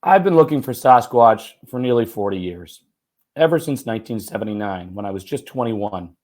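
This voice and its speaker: A slightly hoarse man reading a first-person short story aloud in an expressive, conversational, folksy way. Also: on the low side, normal speed. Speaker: writer and teacher reading own short story.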